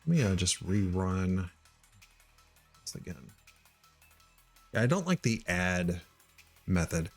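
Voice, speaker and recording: A middle-aged man from Missouri thinking aloud while he writes code, halting with many ums and uhs. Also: sits low, big pitch swings, soft background music. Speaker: live-coding streamer.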